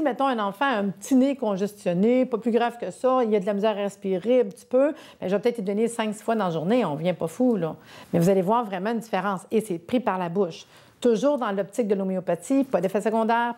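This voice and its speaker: A woman's voice: medium pitch, mellow. A woman with a Québécois accent presenting a sponsored health segment, chatty and persuasive. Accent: Québécois French.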